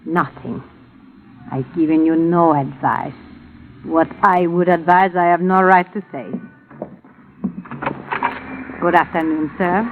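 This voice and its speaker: An actor playing a guarded, cryptic spiritual advisor, formal and measured, in a 1950s radio drama. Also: male voice, on the high side, fairly even intonation, narrow, tinny audio. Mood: cool, cryptic. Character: guarded, solemn, cryptic fortune-teller-like advisor Madam Star. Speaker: advice-giver in a radio drama. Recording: thin vintage radio recording, faint noise.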